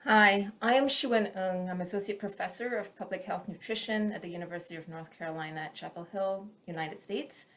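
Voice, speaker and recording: A woman professor from Singapore, heard at an online launch reading out her section in a medium-pitched voice. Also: brisk, hardly any pauses, fairly bright tone. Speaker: associate professor, task team member. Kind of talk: prepared presentation at an online event.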